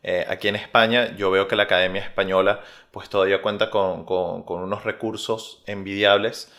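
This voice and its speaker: A young Venezuelan man with a Venezuelan Spanish accent, a researcher answering interview questions in a measured way with frequent pauses. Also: fairly low pitch, level tone, fairly bright tone. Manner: measured, many filler "eh" pauses.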